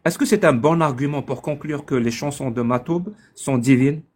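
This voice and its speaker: A man speaks firmly in a live online debate, hammering his point with repeated, pointed questions. His voice sits at a middle pitch. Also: normal speed, darkish tone. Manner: insistent, pressing questions.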